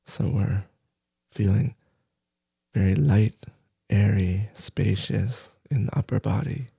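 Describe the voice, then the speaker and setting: Man, meditation teacher, low gravelly voice, soft-spoken, unhurried pauses, guided practice. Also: no echo. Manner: soft-spoken, halting short phrases with long pauses.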